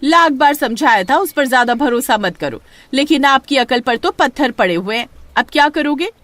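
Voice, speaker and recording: Woman putting on a shrill-pitched, nagging village wife. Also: expressive, normal speed, a bit bright, no echo. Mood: nagging, exasperated. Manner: scolding, sarcastic. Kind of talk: storybook character dialogue.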